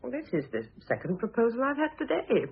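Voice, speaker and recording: Middle-aged former wife, radio play: dry, wounded but self-possessed, lively intonation, thin muffled vintage sound. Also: female voice, a little high, a little fast, vocal fry, slightly rough, full character voice. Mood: wry, teasing. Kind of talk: vintage radio drama acting. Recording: muffled, narrow-band old radio recording.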